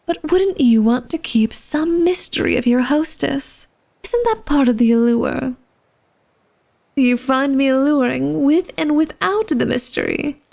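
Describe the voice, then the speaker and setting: A woman's voice: sits high, animated, unhurried, gravelly, a little mellow, exaggerated voice. A woman playing an elegant, secretive vampire lady of a manor in a flirtatious ASMR roleplay, speaking formally and coyly to the listener. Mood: teasing, dismissive. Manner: formal, genteel, coy, teasing speech addressed to the listener.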